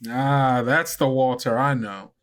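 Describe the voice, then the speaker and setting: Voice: mid-range voice, steady tone, slow, a bit bright. A game master playing a friendly, folksy woman driver with a plain-spoken, teasing manner. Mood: wry, knowing.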